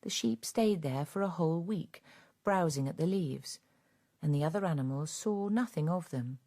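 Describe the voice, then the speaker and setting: A woman narrating a radio drama as a grave, measured storyteller of a farm fable. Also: sits low, expressive, mellow.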